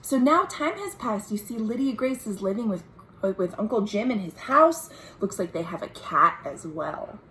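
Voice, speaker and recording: A woman's voice: medium pitch, low-quality recording. A woman reading a picture book aloud for young children as a librarian, expressively, with asides about the pictures. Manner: expressive, pausing to point out pictures. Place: at home. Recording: home video with faint background noise.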